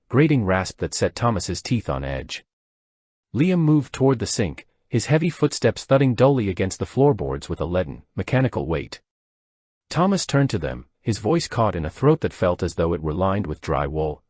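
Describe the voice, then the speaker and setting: A man narrating a scripted slow-burn horror story in a measured, dramatic storytelling manner. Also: sits low, a little mellow, no room sound, studio quality.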